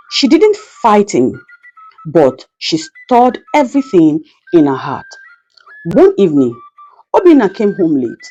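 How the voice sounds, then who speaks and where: A woman's voice: medium pitch, warm tone, slightly rough, muffled recording. A woman narrating a folktale as a scripted, dramatic storyteller who speaks straight to her listeners. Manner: addresses listeners directly, proverbial.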